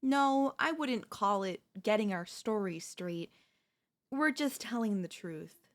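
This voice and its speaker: A woman acts out a doting yandere wife in an ASMR roleplay. She speaks slowly at a medium pitch, tender and breathy, with hesitant stammers. Beneath the soothing care she is anxious and quietly controlling. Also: expressive. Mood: calmly coaxing. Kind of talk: ASMR audio roleplay, intimate acted monologue.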